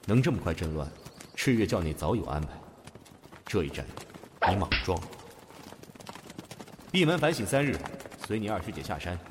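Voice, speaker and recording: A man playing a calm, stern and protective martial sect leader, composed and formal, in a wuxia radio drama. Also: sits low, moderate pace, long pauses, noisy background.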